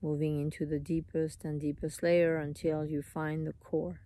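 A meditation teacher leading a live guided meditation in an unhurried, soothing manner, in short phrases with long pauses. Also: medium pitch, level tone, warm tone, no echo.

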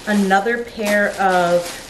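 A woman's voice: mid-range voice, steady tone, slow pace. A woman in her thirties chatting casually to camera as a lifestyle vlogger, informal and peppered with "like", recorded in a living room. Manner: chatty, informal, frequent "like" as filler. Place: living room of an apartment, indoors. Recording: roomy echo, clear background noise.

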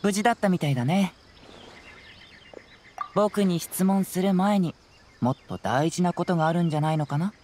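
A man voicing a calm, perceptive young gentleman investigator in a game, soft-spoken and politely probing. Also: high voice, moderate pace, long pauses, slight background noise, lightly acted. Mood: gently concerned. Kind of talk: Japanese game cutscene voice acting.

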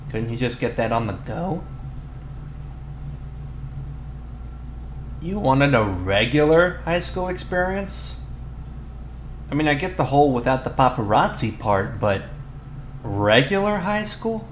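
A man playing a flustered, defensive teenage bully with a crush in an ASMR boyfriend roleplay, talking in a halting, sheepish, slangy way. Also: mid-range voice, animated, close to an everyday voice. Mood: curious, awkward. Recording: roomy echo, clear background noise.

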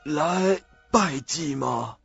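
A man acting a slow-talking old cemetery keeper. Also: fairly high pitch, expressive, gravelly, fairly bright tone, husky, heavily acted. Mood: inquisitive. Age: older. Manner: slow, questioning. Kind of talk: radio drama acting. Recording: dull lo-fi audio, music behind.

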